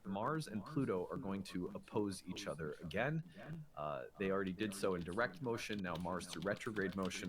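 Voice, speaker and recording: Low-voiced man hosting, chatter behind. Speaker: show host. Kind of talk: conversational astrology show presenting.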